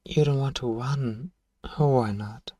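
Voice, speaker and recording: A man playing a powerful, manipulative elder vampire in an ASMR roleplay, speaking in a hushed, taunting and condescending way. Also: medium pitch, no echo, far from the mic. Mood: coaxing, falsely sympathetic. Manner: slow, hushed, taunting, condescending, lapses into whispers. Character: powerful elder male vampire, suave, menacing, manipulative seducer.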